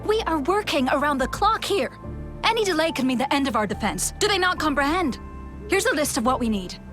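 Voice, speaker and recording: A woman playing a harried, demanding military supply officer in a video game, brisk and commanding. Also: sits high, big pitch swings. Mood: urgent, impatient. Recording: music playing behind the voice.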